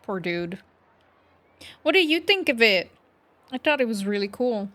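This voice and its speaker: A woman's voice: a little high, very animated, a little slow, a bit bright, dry recording. A woman live-streaming a game, chatting casually and reacting aloud in short informal asides. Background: game music.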